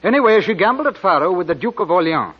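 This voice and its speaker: A genial young officer narrating a strange tale. His voice is on the high side and swoops with theatrical expression, in a dulled vintage radio recording with music. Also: very animated, fast talker, breathy edge, muffled recording, full character voice. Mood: confiding. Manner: theatrical storytelling. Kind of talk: 1940s radio acting, dramatic narration.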